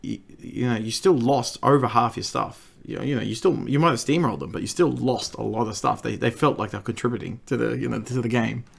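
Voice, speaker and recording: An Australian man hosts a relaxed podcast chat. His voice is croaky and gravelly after a cold, pitched in an ordinary middle range. He stumbles and restarts his sentences as he goes. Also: a little fast, hardly any pauses. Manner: stammering restarts, laughs often. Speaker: podcast host.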